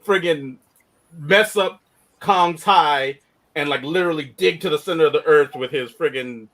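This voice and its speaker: A man co-hosting a casual chat over a video call, animated and incredulous, often cutting himself off. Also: fairly high pitch, moderate pace. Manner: animated, incredulous, self-interrupting. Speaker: podcast co-host.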